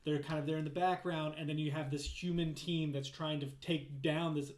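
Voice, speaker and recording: A man's voice: on the high side, little pitch movement, moderate pace. A man co-hosting a podcast, chatting casually about games in a round-table discussion. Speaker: podcast co-host. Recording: echoey room.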